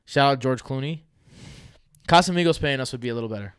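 A man's voice: mid-range voice, quick pace, clean tone, no echo, clean studio sound. A man, hosting a fantasy football podcast in loose, joking chat with his brother. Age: 30s. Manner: animated, laughs often, loose and joking.

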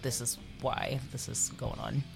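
A man's voice: mid-range voice, huge pitch swings, a little slow, gravelly, faint music behind. A young man co-hosting a casual film chat, chiming in with slangy remarks. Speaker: podcast co-host. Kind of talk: casual film discussion among friends.

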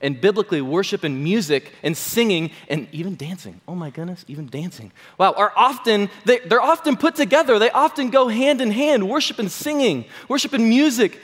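A man, a pastor, giving a chatty Sunday sermon with a slightly hoarse voice and many fillers. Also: sits high, expressive, a little fast, few pauses, a bit bright. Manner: chatty, self-deprecating, full of fillers. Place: church hall. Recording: long hall reverb.

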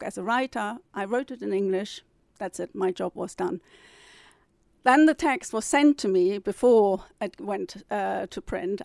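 A woman's voice: medium pitch, dry recording. Thoughtful German-born woman, dry sound. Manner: thoughtful, with many restarts and fillers. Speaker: publisher and novelist. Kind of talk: interview answers.

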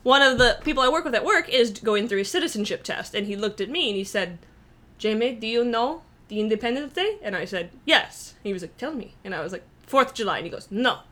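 A young woman with a bright, sharp voice chats on a podcast, her pitch swinging widely as she mocks herself. She is short on sleep and laughs a lot. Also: fairly quick. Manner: animated, self-mocking, laughs a lot. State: tired from little sleep. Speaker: podcast co-host. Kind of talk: casual chat.

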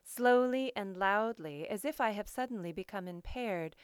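A woman host reading literary work and scripted introductions in a measured, deliberate, expressive way. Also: medium pitch, smooth, dry recording, studio quality. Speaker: poetry show host and reader.

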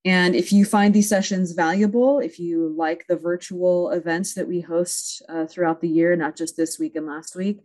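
A woman moderates an online conference session from a video call. Her voice sits somewhat low, and she talks in a friendly way with plenty of ums and uhs. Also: steady tone, normal speed, hardly any pauses, a little mellow. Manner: friendly, with frequent fillers. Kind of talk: hosting a virtual panel.

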